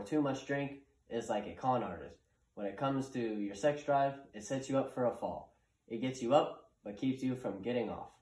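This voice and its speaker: A man's voice: mid-range voice, a little mellow, lightly acted. A man playing a bawdy, hungover servant in a puppet play, rambling with jokey mock wisdom. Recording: roomy, echoing room sound.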